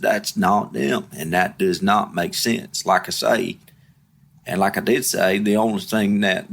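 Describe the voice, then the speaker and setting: A young man, speaking in an interview, halting and repeating himself but blunt and emphatic. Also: on the low side, gravelly, a bit bright. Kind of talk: candid interview.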